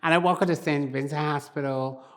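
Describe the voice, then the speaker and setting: A woman's voice: sits low, fairly even intonation, a little fast. An older woman from Barbados, telling her life story in an oral history interview in a plain-spoken, reflective way.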